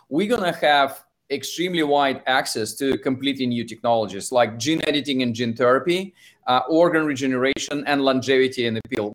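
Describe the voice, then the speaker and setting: Russian-accented man, halting investor talk. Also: medium pitch. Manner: halting, restarts phrases, hesitant fillers. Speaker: investor and author. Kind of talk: interview answers.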